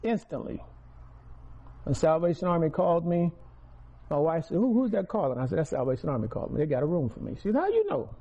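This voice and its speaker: A man's voice: on the high side, very animated, quick pace, husky, muffled recording. A man, giving a preaching testimony to a congregation, repeating key words and building volume on stressed phrases. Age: 60s.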